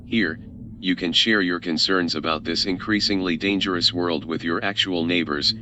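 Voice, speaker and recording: A man's voice: sits low, steady tone, normal speed. A man reading a scripted announcement in the style of an announcer for a fictional social network. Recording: dull lo-fi sound, noisy background.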